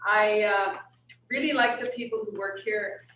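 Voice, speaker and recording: A woman's voice: mid-range voice, steady tone, unhurried, fairly bright tone, a little hiss or hum. An older retired woman offering herself as a board director, speaking earnestly with some hesitation. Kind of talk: candidate speech at a meeting. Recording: echoey room, far from recorder.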